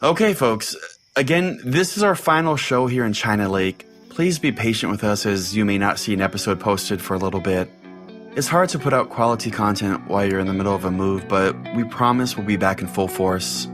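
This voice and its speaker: Low-voiced man hosting over guitar music. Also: a little fast, a bit bright. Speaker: podcast host. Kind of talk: scripted podcast sign-off. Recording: music bed under the voice. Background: plucked guitar music.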